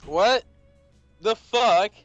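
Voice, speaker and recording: A man's voice: high-pitched, drawn-out words, dry recording. A man giving casual, joking co-host commentary with crude, sweary interjections. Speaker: let's play co-host. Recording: slightly dull mic, music behind.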